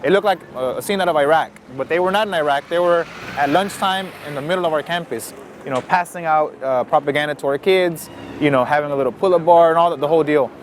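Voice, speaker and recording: Man, teacher and organizer, rapid heated speech, higher voice, noisy street audio outdoors. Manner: fast, heated, many fillers. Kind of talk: interview, outdoor.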